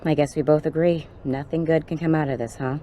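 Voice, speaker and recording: Actress as low, dark-voiced streetwise policewoman. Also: steady tone, fairly quick, a little hiss or hum, close to an everyday voice. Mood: confiding, businesslike. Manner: blunt, informal, slangy. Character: tough, streetwise woman police officer briefing an operative. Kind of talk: video game cutscene dialogue acting.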